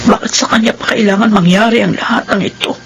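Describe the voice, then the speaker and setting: Middle-aged mother woman acting, sorrowful, emotional delivery for radio drama, clear noise in the background. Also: medium pitch, fairly even intonation, quick pace, natural voice.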